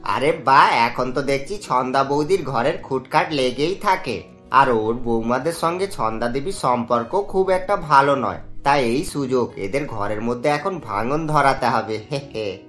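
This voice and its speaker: A man's voice: medium pitch, expressive, a little slow, a bit bright. Sly scheming neighbour man played by an actor, over music. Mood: gloating, scheming. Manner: insinuating, gossipy, with smug little chuckles. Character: sly scheming male neighbour who loves breaking up families. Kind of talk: animated family story dialogue.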